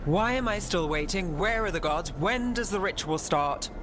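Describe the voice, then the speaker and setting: A woman playing a haughty, sharp-tongued human mage in a fantasy game, cool and imperious. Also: lowish voice, expressive, normal speed, noisy background, natural voice. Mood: imperious, scornful.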